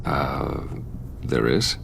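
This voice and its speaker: A very deep, gravelly, guarded businessman. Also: little pitch movement, extremely slow, a bit bright, slight background noise, exaggerated voice. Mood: warm, measured. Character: guarded, courteous wealthy businessman in his prime. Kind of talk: video game voice acting.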